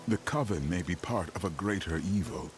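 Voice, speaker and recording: An actor playing a solemn, mysterious stranger in a fantasy video game, speaking in a halting, formal way. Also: male voice, sits low, animated. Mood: brooding, uncertain. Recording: rain noise behind the voice.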